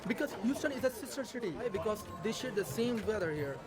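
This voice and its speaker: A man's voice: sits high, lively intonation, fast talker. A man from Pakistan, eagerly welcoming visitors at a restaurant outdoors. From Karachi, Pakistan. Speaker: restaurant staff. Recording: outdoor street recording with music and crowd.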